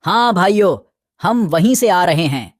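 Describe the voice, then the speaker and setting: A woman plays a sensible, friendly deer in a children's moral story. The delivery swings widely in pitch from a lowish base, earnest and advising, in a dry recording. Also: expressive, unhurried, no room sound. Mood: reassuring. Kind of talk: Hindi animated moral story acting.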